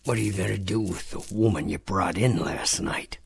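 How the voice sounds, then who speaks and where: A man playing a frightened, tortured prisoner in an audio drama, halting and subdued, with a gravelly voice. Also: deep voice, slight background noise. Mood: pained, fearful. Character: frightened, tortured male prisoner, guarded and pleading.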